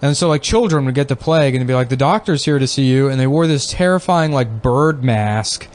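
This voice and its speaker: Man, mid-pitched voice, dry rambling deadpan, casual podcast banter. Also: brisk. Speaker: podcast co-host.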